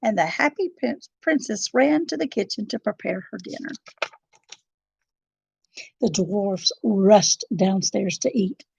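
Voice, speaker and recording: A woman narrating a children's storybook in an expressive, dramatic and deliberate style. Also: medium pitch, creaky, slightly rough, dry recording, lo-fi sound.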